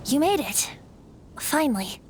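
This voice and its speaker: High, boyish whimsical bard. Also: female voice, sing-song, measured pace. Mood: sheepish, light. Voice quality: light, boyish. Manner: lilting, playful, theatrical. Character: youthful, whimsical, carefree male bard, ancient spirit. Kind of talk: anime-style video game voice acting. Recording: music behind the voice.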